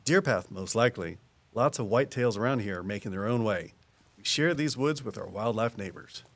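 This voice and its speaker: An actor playing an easygoing small-town conservation officer in an audio drama, explaining things in a folksy, patient way. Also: male voice, fairly low pitch, lively intonation, normal speed. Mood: easygoing, encouraging.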